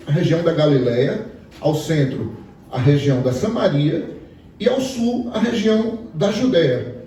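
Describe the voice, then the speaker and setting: A man's voice: a little high, dark tone, distant mic, a little hiss or hum. A man from Pernambuco with a Pernambuco accent, preaching a sermon in a storytelling way and asking the congregation questions. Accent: Pernambuco Portuguese. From Pernambuco, Brazil. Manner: storytelling, asks the audience questions. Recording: echoey hall, voice from afar.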